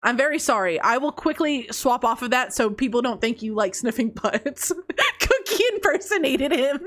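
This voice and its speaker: A woman's voice: lively intonation, normal speed, fairly bright tone. A woman streamer chatting casually with her chat, teasing and laughing often, with a congested nose. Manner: playful, teasing, laughs often, swings between high squeals and low mutters. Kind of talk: casual live-stream chat reading confessions.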